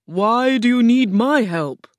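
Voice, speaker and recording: A woman voicing a giant, stern male wizard head from a storybook, with slow, loud, imposing pronouncements. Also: fairly high pitch, animated, no room sound, voice pushed up. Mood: stern, questioning. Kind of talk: storybook character voice.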